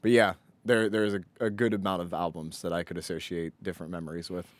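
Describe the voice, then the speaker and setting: A man's voice: low voice, flat delivery, fast. A young adult man co-hosting a podcast, reminiscing in casual, slangy banter. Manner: informal, slangy. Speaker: podcast co-host.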